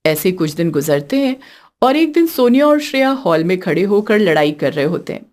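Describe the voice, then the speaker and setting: A woman's voice: fairly low pitch, big pitch swings, normal speed, darkish tone. A woman narrating a scripted story as the storyteller.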